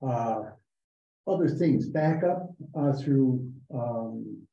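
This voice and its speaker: A man reporting to a town board meeting, talking in a halting way with many fillers and restarts. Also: mid-range voice, expressive, vocal fry, warm tone. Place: town hall meeting room. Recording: echoey, muffled meeting room recording.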